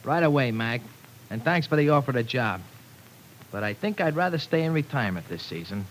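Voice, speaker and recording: An actor playing a cocky, defensive young ex-offender in an old radio drama, with brash, slangy wisecracks. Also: male voice, medium pitch, lively intonation, brisk, close to an everyday voice. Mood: brash, defiant. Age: young adult. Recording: noisy vintage radio recording.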